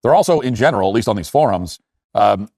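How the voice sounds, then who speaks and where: Fast-talking low-voiced man giving opinion commentary. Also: expressive, a little mellow, dry recording, clean studio sound. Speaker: commentary show host.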